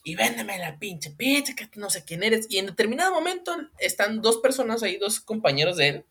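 A man's voice: sits high, brisk, vocal fry, husky. A man with a Mexican accent co-hosting a casual comedic chat about viral news, slangy and laughing often. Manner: animated, slangy, laughs often, mimics voices. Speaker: co-host.

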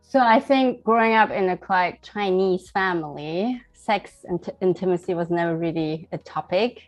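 A young woman raised in Germany answers questions as a podcast guest in a relaxed, candid way. Her voice sits a little low, and her sentences wander with frequent "like"s and "I think"s. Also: moderate pace, dull audio, faint music behind. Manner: chatty, peppered with "like" and "I think". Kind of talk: casual personal interview.

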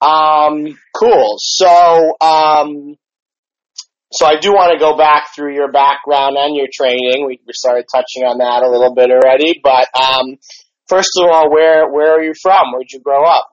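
A man who used to act, hosting an interview in a relaxed, halting way with lots of fillers. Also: fairly high pitch, no room sound. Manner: relaxed, hesitant, lots of ums and you knows. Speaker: podcast interviewer, former actor. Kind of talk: casual interview chat. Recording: dry, slightly dull podcast audio.

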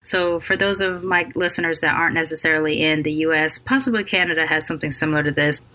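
A woman hosting her podcast late at night, tired and with a scratchy voice left over from a cold, talking in a halting way with many ums and restarts. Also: deep voice, bright, forceful tone. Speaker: podcast host. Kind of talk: casual solo podcast intro.

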